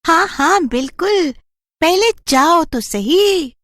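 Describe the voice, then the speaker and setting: A woman's voice: extremely high pitch, expressive, takes it slowly, fairly bright tone, no echo, studio quality, full character voice. A woman voicing a playful, adventurous young village woman with a bubbly, teasing manner.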